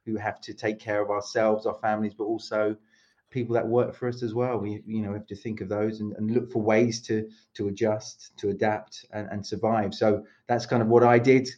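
British guy on a zoom call, kinda low voice, keeps restarting his sentences, dull muffled audio. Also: little pitch movement, moderate pace, darkish tone. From England. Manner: rambling, many restarts and self-corrections. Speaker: podcast guest, speaking coach. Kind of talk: casual interview chat over video call. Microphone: video call.

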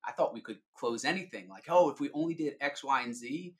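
A man's voice: mid-range voice, expressive, fairly quick. A middle-aged man giving informal, explanatory answers as a sales leader being interviewed on a podcast.

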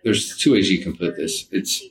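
Deep-voiced man, halting and reflective. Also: animated, low-quality recording. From Alabama, United States. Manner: halting, reflective, often rephrasing mid-sentence. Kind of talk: conversational interview answers.

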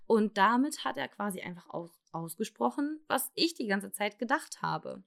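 A young woman from Germany telling her own story in a candid, confessional way, halting often with fillers and restarts. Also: medium pitch, expressive, vocal fry, a bit bright. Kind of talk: personal testimony.

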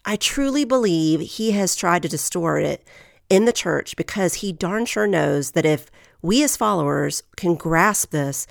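A woman hosts a faith podcast in an earnest, encouraging way, her voice nasal from a lingering head cold. There is a gravelly rasp under her somewhat low voice. The recording is close and dry, with no room sound. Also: animated, unhurried, dry recording. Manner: earnest, encouraging, reads scripture aloud. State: getting over a head cold. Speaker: podcast host.

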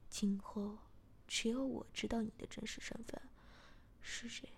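A woman voicing a calm, domineering young man in a whispered storybook reading. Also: fairly high pitch, creaky, slightly rough, heavily acted. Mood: cold, controlling. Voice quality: whispered, measured.

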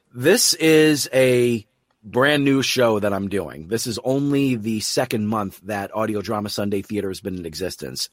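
A man's voice: medium pitch, dry recording, clean studio sound. A man hosting an interview podcast in an enthusiastic, effusive manner. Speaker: podcast host.

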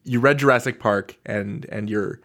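A man's voice: fairly low pitch, moderate pace, a bit bright. A man hosting a podcast, introducing and interviewing in a playful, emphatic way.

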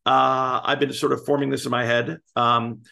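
A man in his late fifties co-hosts a relaxed podcast chat. His voice is slightly hoarse with a gravelly edge. He drops playful, drawn-out deadpan asides. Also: medium pitch. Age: 50s. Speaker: podcast co-host. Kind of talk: casual chat.

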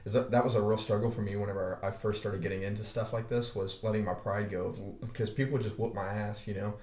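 A young man with a deep voice, low in pitch, talking in a relaxed way. He circles back and repeats himself as the stories unfold. The sound is roomy, with a clear echo. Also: quick pace. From Arkansas, USA. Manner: laid-back storytelling, frequent restarts and swearing. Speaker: podcast co-host. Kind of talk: casual chat. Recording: echoey room.

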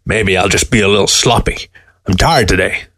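A man voicing a weary, grumbling old taxidermist in a story, gruff and defensive in manner. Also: deep voice, brisk, a bit bright. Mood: weary teasing.

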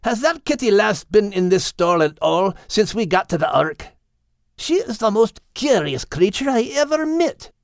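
Gruff, kindly Scottish dog for a children's audiobook, very lively pitch. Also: male voice, normal speed, no echo, clean studio sound. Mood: curious. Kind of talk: animated audiobook character voice.

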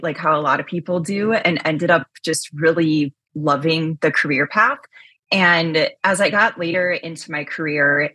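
A loud, energetic woman talking as a podcast guest, full of casual fillers and quick asides. Her voice sits low and sounds a little husky. The recording is close and dry. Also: no echo. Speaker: podcast guest, chief risk officer. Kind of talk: casual interview chat.

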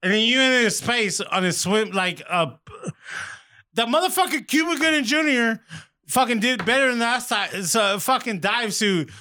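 A man's voice: very high-pitched, moderate pace, sharp tone. A married man in casual chat, ranting profanely and stammering when worked up. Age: adult, married.

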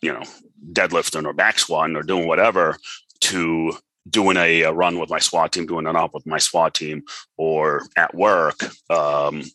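Very deep, forceful-voiced man. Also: flat delivery, no echo, poor audio. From Liberia and Lebanon. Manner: halting, repeats words, blunt and profane. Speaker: police officer and guest. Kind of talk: casual podcast conversation.

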